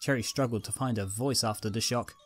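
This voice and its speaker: Guy narrating a fanfic story, medium pitch, quiet music in the background. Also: fairly quick, breathy edge. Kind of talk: scripted fan fiction story narration.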